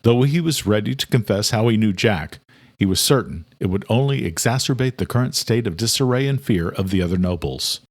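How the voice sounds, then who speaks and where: A man's voice: on the low side, animated, brisk. A man reading scripted dramatic narration as an audiobook narrator.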